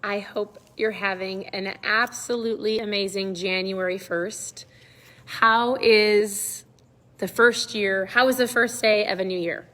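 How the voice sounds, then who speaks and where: A middle-aged woman with a slightly hoarse voice, chatting casually and encouragingly to viewers as the host of a livestream. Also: mid-range voice, steady tone, a little slow, fairly bright tone, long reverb tail. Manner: upbeat, encouraging, conversational. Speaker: online coach hosting a live check-in.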